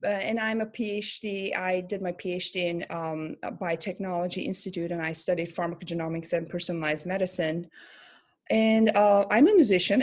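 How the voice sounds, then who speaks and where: A woman from Turkey, a career coach and pharmacist, talking in a casual interview in a thoughtful, halting way with many fillers and restarts. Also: medium pitch, a little mellow.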